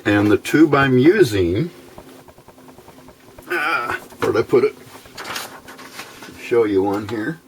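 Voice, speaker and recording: A man's voice: mid-range voice, lively intonation, takes it slowly, pauses often, a little hiss or hum. A man giving an informal hands-on technical explanation as an electronics hobbyist, in a plainspoken, folksy, methodical way.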